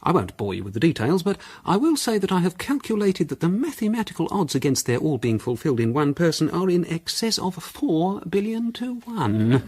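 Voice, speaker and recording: British man, period radio mystery: self-assured amateur detective, slightly husky, lively intonation, fussy precision with hesitations. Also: fairly high pitch, normal speed. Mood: pleased, confident. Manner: hesitant, pedantic, fussily precise. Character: self-assured, discursive male amateur sleuth presenting his theory.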